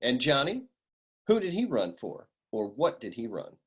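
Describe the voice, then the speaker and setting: An actor playing a wisecracking hardboiled private eye in a comic noir radio serial, with deadpan, slangy tough-guy talk. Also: mid-range voice, normal speed, slightly rough. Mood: probing, deadpan.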